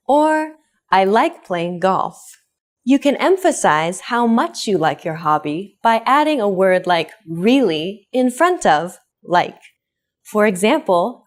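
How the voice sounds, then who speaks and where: A woman presenting a scripted English lesson, clearly and brightly modeling example phrases for learners. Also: medium pitch. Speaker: English teacher.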